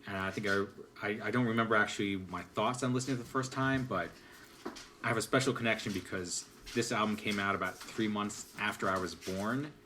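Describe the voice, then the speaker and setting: A man in his forties on a podcast panel, telling stories in a halting, self-mocking way. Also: fairly low pitch, pushed and bright, a little hiss or hum. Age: 40s. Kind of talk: casual chat.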